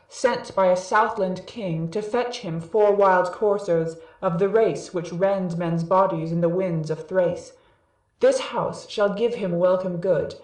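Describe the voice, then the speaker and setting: A proud, lofty god of light played by a man speaks archaic stage verse in a measured, declamatory way. The delivery is very slow, with strong room echo and some distance from the microphone. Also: high-pitched, a little mellow. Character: Apollo, proud god of light pleading for mercy. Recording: echoey room, distant from mic.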